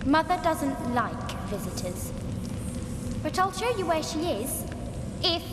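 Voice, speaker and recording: A woman's voice: sits high, sing-song, slow, very noisy, exaggerated voice. A woman voicing a childlike vampire girl in a video game, playful, spoiled and cunning, with a coy, taunting delivery. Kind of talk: video game cutscene acting. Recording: echoing hall, loud music behind.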